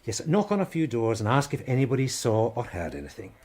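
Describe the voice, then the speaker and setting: An actor playing an irritable, overworked police sergeant who barks impatient, blunt questions in a radio drama. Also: male voice, medium pitch, huge pitch swings, fast, darkish tone. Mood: harried, irritated. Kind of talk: naturalistic radio drama acting.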